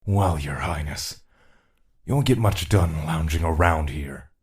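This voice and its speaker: An actor playing a blunt, formal non-human attendant in an audio drama, dry and scornful of humans. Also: male voice, very deep voice, unhurried, a little mellow, slightly rough, full character voice. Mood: blunt chiding.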